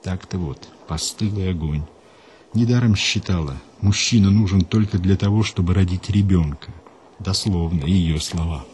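A man hosting a radio program, reading a scripted story in a measured, unhurried way and dropping lower and quieter for quotations. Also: a little hiss or hum. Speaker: radio host and narrator.

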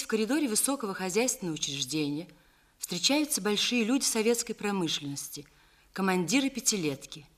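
A woman reading scripted documentary narration in a clear, formal manner. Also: mid-range voice.

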